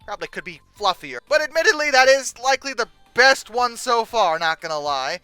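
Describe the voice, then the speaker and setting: Animated, exasperated commentator in a dry close recording. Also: medium pitch, a little fast, clean tone, no room sound, soft background music. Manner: exasperated, sarcastic, incredulous asides. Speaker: host reacting to old book text and pictures. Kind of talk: casual comedic commentary with read-aloud passages.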